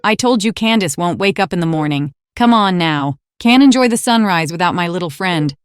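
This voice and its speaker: A woman's voice: lowish voice, animated, a little fast, clear, resonant tone, dry recording, studio quality, lightly acted. Woman acting a confident, teasing warplane gal. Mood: fond, teasing. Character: plucky, affectionate older-sisterly female warplane. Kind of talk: audio drama acting.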